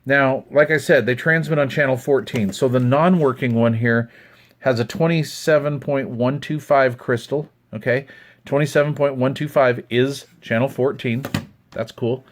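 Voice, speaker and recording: A man's voice: medium pitch, moderate pace. Middle-aged Western American man, casual. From United States, Utah. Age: 50s. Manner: rambling, conversational. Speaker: hobbyist video host. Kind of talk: casual hands-on show-and-tell. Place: indoors at a wooden desk.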